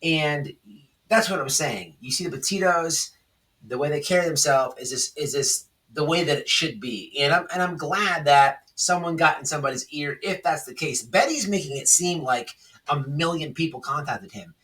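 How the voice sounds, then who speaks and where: Bright, higher-pitched man, emphatic commentary. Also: moderate pace, distant mic, poor audio. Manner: emphatic, repetitive, checks in with "okay?" and "right?". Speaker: YouTube commentary host. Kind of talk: casual drama commentary, off-the-cuff.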